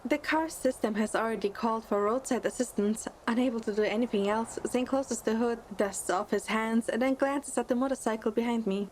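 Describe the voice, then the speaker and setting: A woman's voice: on the high side, fairly even intonation, brisk, few pauses, darkish tone, slight background noise, lightly acted, rough recording. A woman voicing a curious, earnest young female hunter recruit, narrating a game story in first person. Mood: composed.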